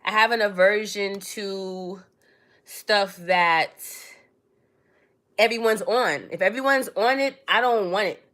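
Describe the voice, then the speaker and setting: A woman's voice: mid-range voice, sharp tone. A woman, born in Trinidad and raised in New York City, chatting casually to viewers on her own livestream and calling them darling and baby. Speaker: livestream host.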